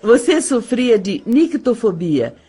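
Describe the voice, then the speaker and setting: A man playing a condescending, reproachful stepmother with a husky voice in an audio drama, insistent and dramatic. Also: very high voice, very animated, takes it slowly, dark tone, voice pushed up, exaggerated voice. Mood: stern lecturing. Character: married stepmother, reproachful, falsely solicitous, lecturing.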